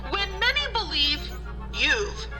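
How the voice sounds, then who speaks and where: A woman voicing a synthetic, glitchy TV interviewer with a stilted, halting delivery in an audio drama. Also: sits high, very animated, over music, full character voice.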